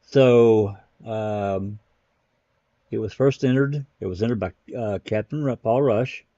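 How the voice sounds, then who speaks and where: A man's voice: fairly low pitch, slow, mellow, no room sound, muffled recording. A man hosting a casual commentary stream, thinking aloud as he rambles through his material. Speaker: live stream host. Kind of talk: casual commentary on case records.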